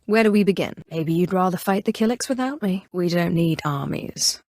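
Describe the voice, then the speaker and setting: An imperious female Sith inquisitor from a video game, speaks in a fairly low register. She is cool, calculating and haughty, giving formal, measured lines like someone used to command. The sound is very dry, with no room on it. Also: animated, a little mellow, no room sound. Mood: cool, confident. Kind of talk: scripted video game character dialogue.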